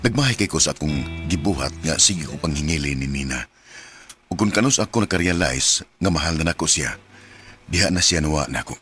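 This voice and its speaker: Deep-voiced, stubborn lovelorn man, Cebuano. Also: lively intonation, fairly quick, a bit bright, faint music behind. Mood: regretful, longing. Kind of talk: Filipino radio drama acting.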